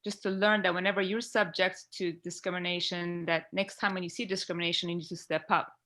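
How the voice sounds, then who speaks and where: Woman from Iran, podcast panelist, bright tone, heartfelt rambling talker on a video call. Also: fairly low pitch, flat delivery, quick pace. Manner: heartfelt, rambling with many fillers. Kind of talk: earnest discussion on a video call.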